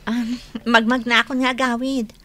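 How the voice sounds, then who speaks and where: A woman's voice: on the high side, flat delivery, moderate pace, over music, lightly acted. A woman playing a devoted, polite young daughter in a radio drama, with an Ilocano accent.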